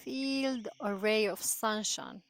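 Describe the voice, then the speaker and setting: A woman leading a guided meditation in a soothing, gentle manner, with drawn-out phrases and long pauses. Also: mid-range voice. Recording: voice over prominent background music. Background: meditation music.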